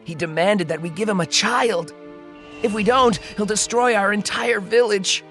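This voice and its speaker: A woman's voice: mid-range voice, big pitch swings, normal speed, faint music behind, lightly acted. A woman voicing a humble, anxious village father in a storybook. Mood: tearful, despairing. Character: timid, distressed village father, kindly and humble.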